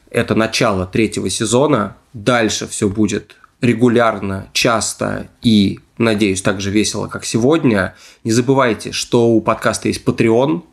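A man's voice: on the low side, creaky. A man hosting a podcast in a casual storytelling style, with wry, hedging asides. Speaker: podcast host.

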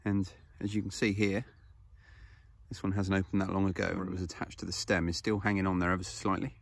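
Gravelly man vlogging on a hillside. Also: sits low, little pitch movement, fast, no room sound. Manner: casual, explanatory, easygoing with fillers. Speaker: foraging channel host. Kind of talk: outdoor how-to vlog. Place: grassy hillside outdoors. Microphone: phone camera held close.

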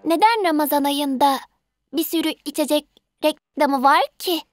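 A woman's voice: sits high, normal speed, soft background music, close to an everyday voice. A woman voicing an eager, naive five-year-old girl in a cartoon dub, with a childlike delivery. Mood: puzzled.

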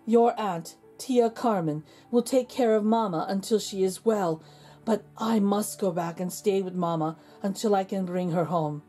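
A gentle, tired village father from a storybook, voiced by a woman reader. He speaks tenderly and seriously in a mellow tone, over faint music. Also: medium pitch, a little slow, slightly rough. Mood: tender, grave.

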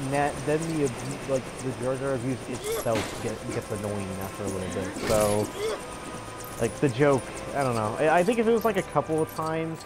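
A young man chatting casually as he streams a game, rambling and halting in an amused way. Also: mid-range voice. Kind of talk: casual live gameplay chat. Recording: loud game music and sounds behind. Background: video game music and effects.

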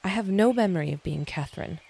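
A woman's voice: mid-range voice, animated, quick pace, gravelly, a little mellow, husky. A woman playing a frustrated, insistent female android in an audio drama, terse and demanding.

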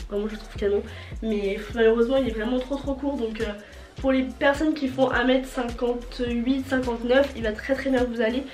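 A young woman with a cold and a nasal voice, chatting casually in a fashion vlog and repeating words for emphasis, filmed by a fixed camera in her bedroom. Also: on the high side. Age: young adult. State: congested from a cold. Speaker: vlogger. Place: bedroom with a closet, indoors. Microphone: fixed camera at table distance. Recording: roomy indoor sound with faint music.

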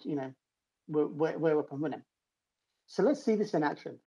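Husky British man giving a demo. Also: on the high side, darkish tone, poor audio. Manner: explanatory, step by step. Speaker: developer advocate giving a technical demo. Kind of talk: informal screen-share tutorial. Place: home room, indoors.

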